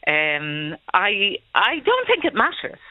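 A caller with a Dublin accent, chatting hesitantly on a radio phone-in with frequent restarts and little laughs. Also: male voice, high-pitched, wildly swooping pitch, slow pace, dry recording. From Ireland. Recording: tinny phone line, dry.

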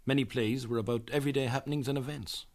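A man with an Irish accent presenting scripted documentary narration in a measured, earnest manner. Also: mid-range voice, fairly even intonation, darkish tone.

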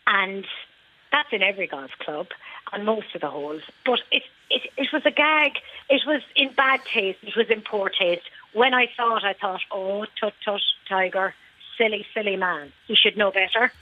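A woman's voice: medium pitch, normal speed. An Irish woman talks chattily on a radio phone-in and stresses her points again and again. Her voice comes through a dull, muffled phone line. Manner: chatty, emphatic, repeats herself. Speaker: golf club lady captain, caller.

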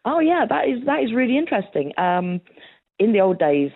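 A woman's voice: fairly high pitch, sing-song, fairly quick, breathy edge, no echo. A woman historian answering interview questions in a chatty storytelling way, laughing often and using plenty of fillers. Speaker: historian guest. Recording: tinny phone-like line.

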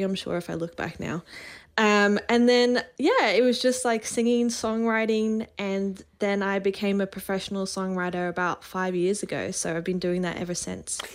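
A woman in her thirties with an Australian accent touched by a soft Irish lilt, chatting brightly as a songwriter guest on a radio show and laughing often. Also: medium pitch, steady tone, normal speed. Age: 30s. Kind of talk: casual radio interview. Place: remote call from Nashville.

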